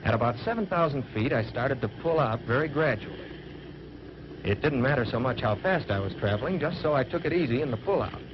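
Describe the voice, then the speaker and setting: Man acting as young American fighter pilot, 1940s training film narration, plain-spoken, dull soundtrack, loud noise and drone. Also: mid-range voice, expressive, fairly quick, natural voice. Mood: matter-of-fact, confident. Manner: plain-spoken, folksy first-person recounting.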